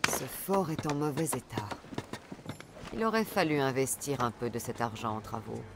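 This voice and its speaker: Une actrice de doublage jouant une guerrière mercenaire posée et pince-sans-rire dans un jeu vidéo. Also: female voice, very deep voice, normal speed, background noise. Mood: composed, determined. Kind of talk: dubbed video game acting.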